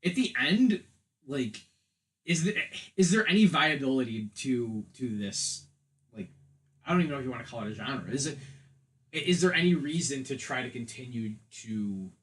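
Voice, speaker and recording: A man's voice: medium pitch, lively intonation, moderate pace, pauses often, poor audio. A man in a casual podcast discussion, talking in a rambling way with "like" sprinkled all through. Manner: rambling, peppered with "like". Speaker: podcast co-host.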